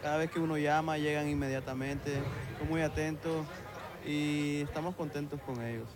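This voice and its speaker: A man from Honduras, a passerby in his car, giving a quiet, polite and hesitant answer on the street. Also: fairly high pitch, steady tone, normal speed, crowd noise.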